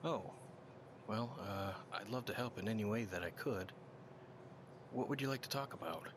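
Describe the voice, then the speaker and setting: An actor playing a gentle, fragile patient who speaks in a halting, fearful way. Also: male voice, on the low side, background noise, natural voice. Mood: polite. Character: fragile haunted older male patient.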